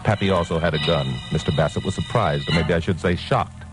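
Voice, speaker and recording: Wry, tough newsman-detective male actor, American, very deep voice, sardonic vintage radio style, music in the old recording. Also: brisk, lightly acted. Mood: wry, hardboiled. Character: wry tough male crime reporter narrating his case. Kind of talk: 1940s-50s radio detective acting, hardboiled narration.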